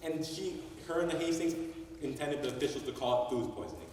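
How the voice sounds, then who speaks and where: A man's voice: on the high side, brisk, a little mellow, slight background noise, natural voice. An actor playing the ghost of a wronged young man who rambles and loses his place as he narrates a stage play. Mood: brooding. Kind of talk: amateur stage acting. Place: stage in a large hall. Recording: echoey hall from far off.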